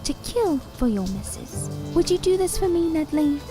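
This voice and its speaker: A woman's voice: high-pitched, big pitch swings, normal speed. A woman playing a scheming, commanding living doll in an audio drama, sweetly menacing with formal, theatrical phrasing. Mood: coaxing, scheming. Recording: music under the voice.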